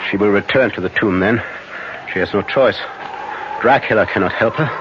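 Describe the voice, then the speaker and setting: Give this actor's male vampire hunter a lowish, solemn voice that instructs with urgent authority. He is a commanding professor from a 1970s radio play, heard through a noisy, dulled broadcast. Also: normal speed, lo-fi sound, natural voice. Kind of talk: 1970s radio drama acting.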